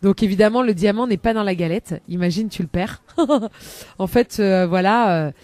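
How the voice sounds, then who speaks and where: A woman's voice: mid-range voice, moderate pace, darkish tone, no echo. A woman chatting on a live radio show as a columnist, rambling with many hesitations and laughing often, into a studio microphone.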